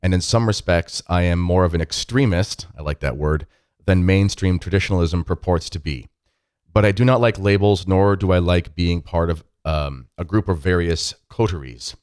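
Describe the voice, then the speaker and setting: A man's voice: low voice, moderate pace, a little mellow, clean tone, dry recording, clean studio sound. A man hosting an interview podcast, talking in a friendly, rambling way with many fillers. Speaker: podcast host.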